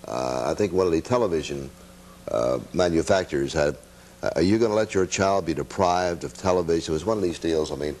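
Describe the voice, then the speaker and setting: An older man with a low, deep voice and a brisk pace chats casually as a guest. He has the folksy, joking way of a veteran radio personality. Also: a little mellow, a little hiss or hum. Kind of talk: casual chat.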